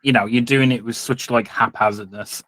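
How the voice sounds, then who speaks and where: A man's voice: medium pitch, steady tone, moderate pace, a bit bright, no echo, low-quality recording. A man running a tabletop roleplay game as game master, narrating casually and often searching for his words.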